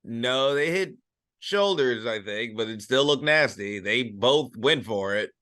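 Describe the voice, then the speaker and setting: A man's voice: mid-range voice, expressive, measured pace, pushed and bright, no echo, studio quality. A young American man chatting casually as a podcast co-host, in a hesitant, agreeable way. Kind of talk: casual chat.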